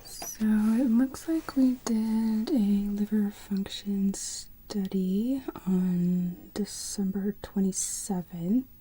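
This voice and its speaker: Soft whispery lady doing asmr front desk calls, super dark mellow tone. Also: mid-range voice, steady tone, extremely slow, slightly rough, poor audio. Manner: mostly whispered, polite phone-receptionist patter. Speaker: medical receptionist in a roleplay. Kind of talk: ASMR roleplay.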